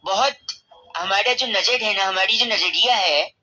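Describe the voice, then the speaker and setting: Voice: medium pitch, a little slow, lo-fi sound, noisy background, lightly acted. A performer voicing a cheeky young male prank caller on the radio, mock-polite, teasing and persistent. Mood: mock-polite, pestering. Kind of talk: comic prank phone call, radio banter.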